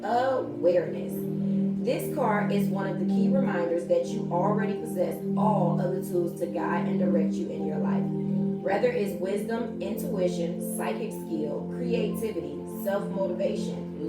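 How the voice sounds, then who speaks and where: Woman doing a chill tarot reading, kinda raspy today, super echoey, loud music behind her. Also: mid-range voice, far from the mic. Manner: chatty and spiritual, addresses viewers directly. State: slightly raspy, scratchy voice. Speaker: tarot reader. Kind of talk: casual daily tarot reading.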